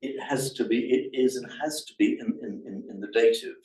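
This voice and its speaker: A man leads a grammar lesson, patient and prone to repeating words mid-thought. His voice is mellow and dark. It carries through an echoey room to a recorder set some distance away. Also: medium pitch, fairly quick. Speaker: Pali teacher. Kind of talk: classroom explanation of grammar. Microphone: room recorder, away from the speaker.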